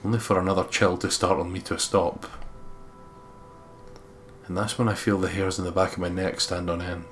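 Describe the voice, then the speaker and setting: A man's voice: deep voice, flat delivery, moderate pace, pauses often, a bit bright, slight background noise. A man hosting a let's play, reading visual novel narration aloud with some casual commentary.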